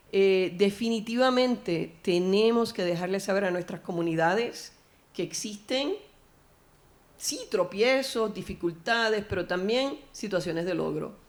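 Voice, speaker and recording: A middle-aged woman from Puerto Rico with a Puerto Rican Spanish accent, speaking at a panel forum. She tells her story in an earnest, reflective way and often restarts her sentences. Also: medium pitch. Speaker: panel speaker, former elected official. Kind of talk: personal speech at a panel forum.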